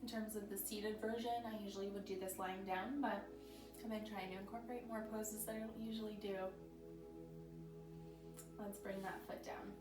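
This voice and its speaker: A woman leads a yoga class in calm, gentle cues, her voice a little scratchy, and she clears her throat now and then. Her delivery stays flat and even. She sounds distant in an echoey room, with soft music playing underneath. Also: medium pitch, normal speed, pauses often. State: slightly scratchy voice. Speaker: yoga teacher. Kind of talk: guided yoga instruction. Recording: roomy echo from a distant mic with background music.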